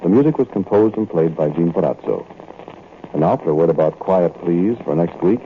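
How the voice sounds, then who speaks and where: Male 1940s radio host; low, measured, tinny old recording. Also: animated, slight background noise. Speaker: radio drama host and narrator. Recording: tinny vintage radio broadcast.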